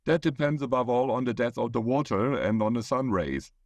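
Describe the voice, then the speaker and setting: A man's voice: medium pitch, a little fast, a little mellow, no room sound, lightly acted. A man voicing a knowledgeable, modest young male student who explains things in a textbook manner. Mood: explaining.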